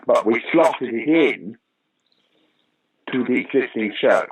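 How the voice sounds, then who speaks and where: A man guest recalling a theatre story in a lively, anecdotal way, with many fillers. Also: mid-range voice, slow pace, a little hiss or hum, rough recording. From Britain. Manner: animated, acts out quoted lines, frequent fillers. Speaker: interview guest.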